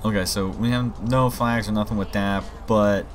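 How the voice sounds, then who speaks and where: A man's voice: on the low side, fairly even intonation, moderate pace. A man giving a casual, rambling gameplay walkthrough as he demonstrates his own game mod. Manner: informal, rambling explanations. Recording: game music and vehicle sirens behind voice. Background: game music, vehicles, police sirens.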